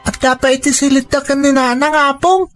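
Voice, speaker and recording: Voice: high-pitched, steady tone, a little fast, fairly bright tone, no echo, soft background music. A performer playing an earnest, respectful young boy, a dutiful grandson, speaking politely with an Ilocano accent in an audio drama.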